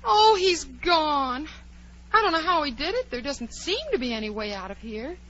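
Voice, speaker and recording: A woman's voice: high voice, lively intonation, normal speed, a bit bright, a little hiss or hum. An actress playing a curious, dreamy, chatty little girl who wonders aloud in a 1940s radio drama. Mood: wistful, puzzled. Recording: muffled old 1940s radio recording.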